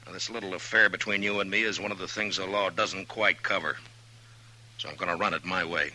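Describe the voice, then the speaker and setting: Deep-voiced, forceful frontier marshal. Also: male voice, fairly even intonation, brisk, rough recording. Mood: cold, firm. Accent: American. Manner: blunt, commanding, coolly goading. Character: tough, unhurried frontier marshal, coolly menacing. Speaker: US marshal in a western. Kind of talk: 1950s American radio western acting.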